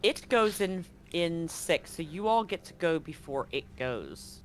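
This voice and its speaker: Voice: mid-range voice, animated, a little slow, slight background noise. A game master narrating a live-streamed tabletop roleplaying game in an informal way, with casual swearing.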